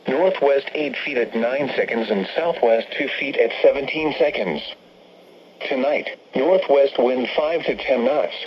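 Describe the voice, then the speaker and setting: A synthetic male voice with an American accent reads a scripted weather forecast in stilted, robotic phrasing as an automated radio announcer. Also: mid-range voice. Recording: noisy, dull weather radio broadcast. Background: steady radio background noise.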